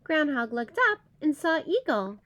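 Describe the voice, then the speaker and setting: A woman storyteller reading aloud for children. Her voice is high and expressive, with big swings in intonation and a slow, measured pace.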